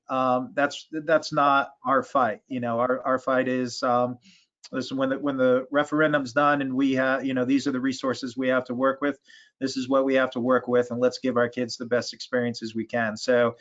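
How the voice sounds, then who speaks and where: Even-toned man, halting, on dull call audio. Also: medium pitch. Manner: halting, measured, with repeated false starts. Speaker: education and school sports association official. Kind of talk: answering questions in an online meeting.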